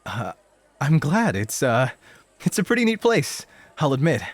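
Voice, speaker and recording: An actor playing a nervous, earnest rookie club host who talks casually and gets flustered easily in a scripted audio roleplay. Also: male voice, on the high side, sing-song, unhurried, dry recording. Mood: awkward, earnest. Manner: casual, stammering, self-conscious.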